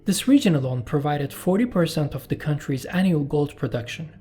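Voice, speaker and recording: Man narrating, mellow voice. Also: a little high, animated, soft background music. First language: Turkish. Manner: measured, explanatory, with personal asides. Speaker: channel host and narrator. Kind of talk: scripted documentary narration.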